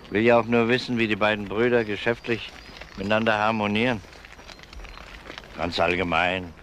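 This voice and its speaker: An actor playing an easygoing, polite but probing police detective in a German crime radio drama, casual and understated. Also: male voice, fairly low pitch, steady tone, normal speed, a bit bright, a little hiss or hum, close to an everyday voice.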